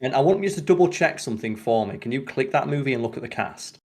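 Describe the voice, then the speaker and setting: A man talks very quickly in casual podcast chat. He is opinionated and swears freely, with the loose delivery of a host talking to a friend. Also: mid-range voice, expressive, darkish tone.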